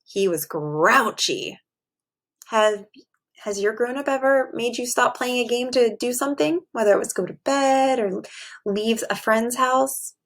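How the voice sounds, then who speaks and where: A woman with a fairly high voice speaks as a teacher to young children. She explains in simple words and puts questions to her listeners. Her delivery is expressive, in the style of a classroom read-aloud. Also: measured pace.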